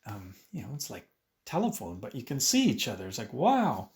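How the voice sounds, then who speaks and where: Male violin teacher, interview talk, gravelly edge, swinging pitch. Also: normal speed. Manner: animated, acts out his own past remarks, frequent restarts.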